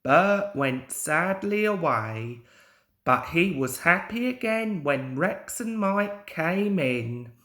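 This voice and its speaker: A young man reads a children's story aloud as an amateur storyteller. His pace is very slow and deliberate, and his expressive pitch moves about in a lively way. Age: young adult.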